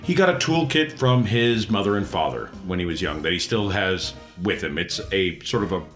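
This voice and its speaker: A man with a lowish voice talks casually as a player on a tabletop game podcast. The sound is roomy, with clear echo around his voice. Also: expressive, normal speed, a bit bright, faint music behind. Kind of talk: casual group chat.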